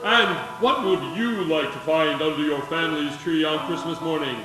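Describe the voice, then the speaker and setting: A man's voice: on the high side, moderate pace, lightly acted. A man playing a jovial, avuncular department-store Santa selling gifts in an old-time radio commercial. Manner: hearty, salesy. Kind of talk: 1940s radio commercial acting. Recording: echoey hall, distant, music behind.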